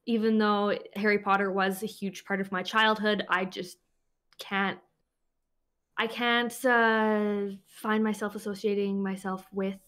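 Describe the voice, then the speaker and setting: A woman's voice: mid-range voice, sharp tone. A woman speaking as a panelist and streamer in a casual debate, hesitant with many restarts.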